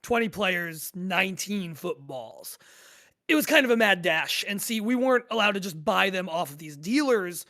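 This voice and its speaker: A man's voice: high-pitched, animated, moderate pace, pushed and bright, full character voice. A man playing a talkative, easygoing treasure hunter, telling his story in a casual, rambling way. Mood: recounting, wry. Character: talkative, easygoing treasure-hunting game player. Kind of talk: acted casual storytelling in a table read.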